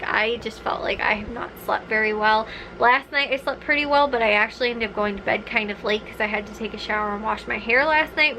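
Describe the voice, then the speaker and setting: A woman's voice: on the high side, bright, forceful tone, slight background noise, low-quality recording. Chatty young woman vlogging from her bedroom. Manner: rambling, chatty, many fillers like "like" and "um". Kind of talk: casual chat to camera. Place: bedroom, indoors.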